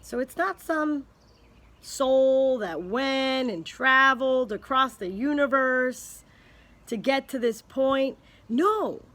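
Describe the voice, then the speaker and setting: A woman vlogger thinking aloud in a casual, earnest way, pausing and restarting as she speculates. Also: high voice, a bit bright. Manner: unhurried, thinking aloud with many pauses and restarts. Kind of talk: casual spoken musing to camera.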